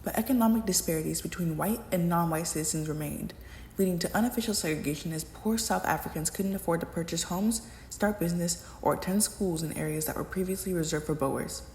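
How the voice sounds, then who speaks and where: Fast-talking young woman narrator, very echoey. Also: on the low side, a little mellow, slight background noise. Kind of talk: scripted educational narration. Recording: echoey, reverberant room.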